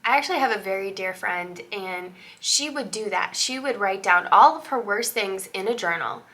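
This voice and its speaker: A woman's voice: mid-range voice, expressive, normal speed, pushed and bright. A woman in her thirties getting over a cold, chatting casually to camera with lots of fillers and asides. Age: 30s. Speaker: vlogger and author.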